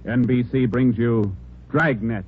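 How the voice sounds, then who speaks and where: Loud brass music surges under a man's mid-pitched voice on a tinny old radio recording. He announces formally, with declamatory authority, in 1940s radio style. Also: steady tone, unhurried.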